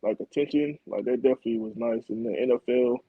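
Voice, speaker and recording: A young man from Florida, a college football player, answering interview questions in a relaxed way. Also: medium pitch, quick pace, husky, no room sound, phone-quality sound. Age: young adult. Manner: relaxed, laid-back, many fillers like "like" and "man".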